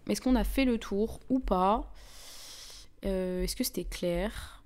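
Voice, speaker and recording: A young woman with a Parisian French accent hosts a casual live chat. She talks in an informal, slangy, chatty way at a middling pitch, addressing her viewers directly. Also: darkish tone. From France (Paris). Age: young adult. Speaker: livestream host.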